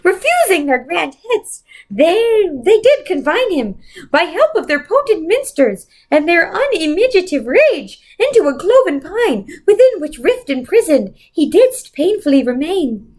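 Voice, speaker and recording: A woman's voice: very high-pitched, very animated, unhurried, darkish tone, pitched above the performer's own voice, heavily acted. A woman voicing an otherworldly female being in a storybook, reciting cryptic lines in a lofty, theatrical way. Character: otherworldly female being quoting riddling verse, solemn and theatrical.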